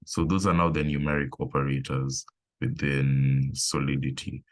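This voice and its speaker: A man with a remarkably deep, low voice leads an online programming class. He talks at an easy pace, stopping to think and filling gaps with "um" as he works through examples. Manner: relaxed, thinking aloud with pauses and fillers. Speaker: coding instructor. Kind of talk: live online coding lesson.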